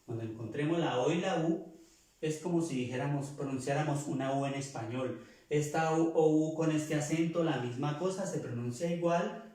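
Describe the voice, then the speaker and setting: A man's voice: fairly high pitch, normal speed, mellow. A man from Latin America teaching French pronunciation, repeating each rule and correcting himself as he goes. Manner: repeats rules, corrects himself mid-sentence. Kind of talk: explaining pronunciation lesson. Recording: very echoey room.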